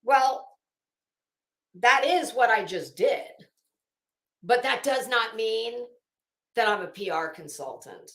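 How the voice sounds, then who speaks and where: A woman host reading aloud and adding sarcastic, emphatic, halting commentary as she goes. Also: a little high, expressive, a bit bright. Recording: echoey room sound.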